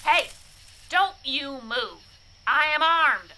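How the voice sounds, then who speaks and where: Sharp, bossy farm woman. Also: high voice, animated, slow pace, slight background noise, heavily acted. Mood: threatening. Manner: stern, folksy, challenging. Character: suspicious, bossy countrywoman farmer guarding her land. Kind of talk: science fiction radio drama acting.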